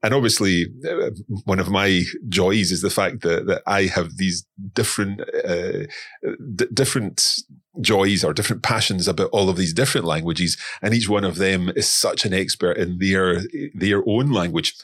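A man's voice: low voice, moderate pace, fairly bright tone, slightly rough, no room sound, studio quality. A man, a guest on a podcast, talking casually and often repeating words as he searches for them. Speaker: podcast guest, language course creator. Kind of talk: casual interview talk.

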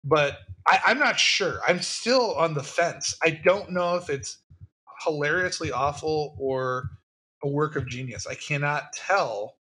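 A man with a bright, fairly high voice chats about a film, quick and hedging, often laughing at his own points. His tone leans forceful and crisp. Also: rough recording. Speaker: podcast co-host. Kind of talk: casual film discussion.

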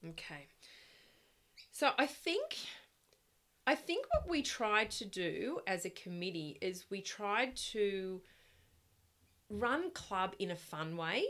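A middle-aged Australian woman chatting casually as an interview guest, reminiscing in a loud, projecting, storytelling way. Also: medium pitch, big pitch swings, slow, long pauses. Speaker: interview guest, swim club parent volunteer.

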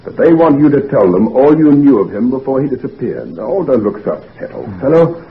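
An actor playing a concerned, frank physician friend, chummy and old-fashioned, in a 1940s radio drama. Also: male voice, mid-range voice, sing-song, a little fast. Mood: earnest. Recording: noisy, tinny 1940s radio recording.

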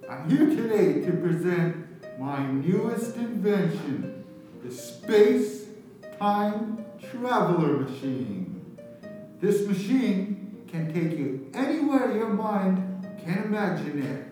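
Man playing a high, swooping evil-genius inventor. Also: sing-song, dark tone, full character voice. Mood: grandiose, scheming. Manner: theatrical salesman pitch, drawn-out dramatic delivery. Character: campy male evil-genius inventor pitching his time machine. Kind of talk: improvised radio comedy sketch. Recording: very echoey room, distant mic, music behind.

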